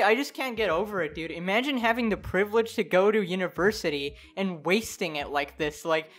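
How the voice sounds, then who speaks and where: A young woman speaks at a middling pitch in the casual style of an online commentator. She is opinionated and slangy. Her delivery is exasperated and incredulous. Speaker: YouTuber. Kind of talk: casual opinionated commentary, reading articles aloud.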